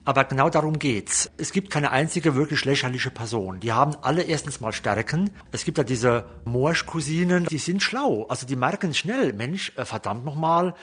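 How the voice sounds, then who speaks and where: Male author for a book talk, husky, mid pitch, musing and explanatory. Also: lively intonation, normal speed, few pauses, a bit bright. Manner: reflective, explains his writing process. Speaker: novelist discussing his book. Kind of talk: casual panel discussion.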